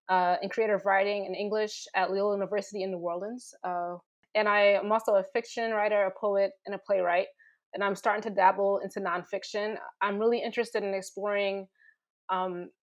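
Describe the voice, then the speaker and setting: A woman from New Jersey introducing herself on a panel in an informal, candid way. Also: mid-range voice. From Newark, New Jersey, United States. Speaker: panelist, writer and professor. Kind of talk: casual self-introduction on a panel.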